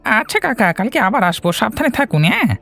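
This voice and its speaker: Loyal young village helper, played by a woman: creaky, very expressive, earnest, cartoon style over faint music. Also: a little high, fast, full character voice. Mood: devoted. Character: loyal young male villager secretly helping a fugitive. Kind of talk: cartoon fairy-tale dialogue.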